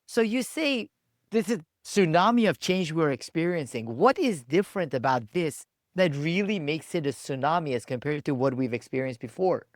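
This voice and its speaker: A man hosting a leadership podcast, asking questions in a slow, deliberate way. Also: on the high side, lively intonation, no room sound, studio quality. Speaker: podcast host. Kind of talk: interview hosting.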